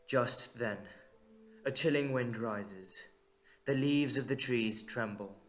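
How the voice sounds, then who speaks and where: A man narrating a dramatic story in short, measured, suspenseful phrases. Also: mid-range voice.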